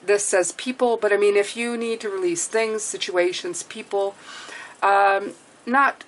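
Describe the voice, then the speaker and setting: A woman whose first language is French, speaking English with a French accent, gives a casual tarot reading and keeps checking in with "okay?". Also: mid-range voice. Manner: chatty, reassuring, often ends with "okay?". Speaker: tarot reader.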